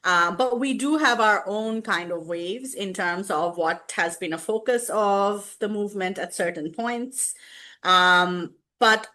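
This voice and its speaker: A woman from Bangladesh answering an interview question in a measured way, with "you know" and "um" along the way. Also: mid-range voice, bright, forceful tone. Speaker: guest, activist.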